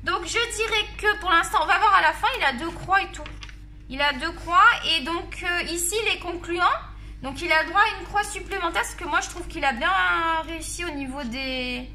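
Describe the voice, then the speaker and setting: Bright, high-voiced chatty woman. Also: moderate pace, slight background noise. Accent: Marseille. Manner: chatty, familiar and joking with viewers. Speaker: art vlogger reviewing colored pencils. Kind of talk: casual chat while coloring on camera. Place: at a desk at home, face off camera.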